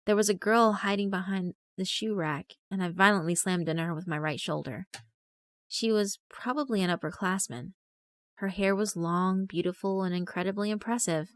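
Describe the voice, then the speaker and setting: A woman's voice: medium pitch, moderate pace. A woman delivering dramatic scripted narration as the narrator of a horror visual novel story. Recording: prominent music behind. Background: background music.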